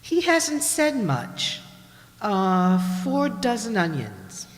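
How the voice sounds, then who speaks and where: A woman's voice: mid-range voice, wildly swooping pitch, very slow, full character voice. A woman playing a reserved, hesitant housewife in an amateur radio play. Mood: hesitant, uneasy. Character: reticent, faintly troubled housewife shopping for groceries. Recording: echoey hall, long reverb.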